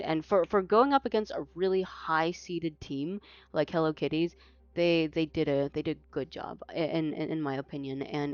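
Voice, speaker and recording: A woman with a low voice, a native Mandarin speaker, chatting casually as a tournament caster. She rambles and corrects herself often. The sound is dry and a little dull, like a lo-fi home mic. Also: smooth, no room sound. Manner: rambling, self-correcting, frequent fillers. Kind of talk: casual post-match chat.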